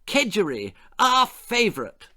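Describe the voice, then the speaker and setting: A man's voice: high voice, wildly swooping pitch, a little slow, pushed and bright, pitched above the performer's own voice, full character voice. A man voicing an eager, polite schoolboy from an old-fashioned children's adventure story, jolly and full of exclamations. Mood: excited. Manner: jolly, plummy 1940s slang, exclaiming.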